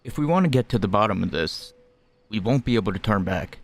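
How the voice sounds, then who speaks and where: Low-pitched man playing a game. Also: expressive, quick pace, background noise. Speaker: gamer reading dialogue choices and commenting. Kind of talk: casual game playthrough. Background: game music.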